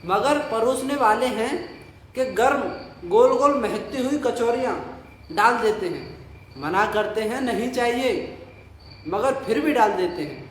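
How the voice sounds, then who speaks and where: An actor playing an old, poor village father in an audio play, nostalgic and boastful, savouring his drawn-out memories and grumbling. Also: very high voice, expressive. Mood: relishing. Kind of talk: Hindi audio play dialogue. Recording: roomy echo, faint noise, night crickets.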